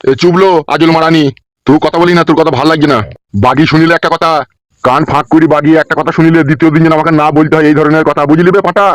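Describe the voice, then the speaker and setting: A man's voice: on the high side, steady tone, racing, no room sound, close to an everyday voice. A man voicing a hot-tempered middle-aged village father in a comic cartoon, scolding and cursing in an abusive harangue. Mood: stern scolding. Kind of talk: comic Bengali cartoon dub.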